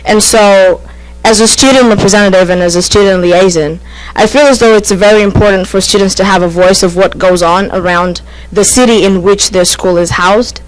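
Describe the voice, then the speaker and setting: A woman's voice: medium pitch, steady tone, dry recording, low-quality recording. A young woman from Kenya, a student liaison in her twenties, introducing herself politely and formally at a council meeting. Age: 20s. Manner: measured, polite, slightly formal. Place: council chamber at a podium.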